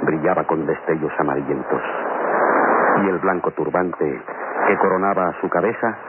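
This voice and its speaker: A man's voice: sits low, normal speed, very noisy, natural voice. A man playing a solemn, theatrical storyteller, narrating a vintage radio adventure serial in florid style. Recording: tinny old radio recording, loud music.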